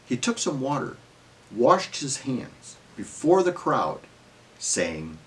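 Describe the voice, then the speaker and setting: A man's voice: medium pitch, very animated, slow pace, a bit bright, poor audio. A man giving a scripted dramatic scripture reading as narrator, in a solemn, emphatic storytelling manner.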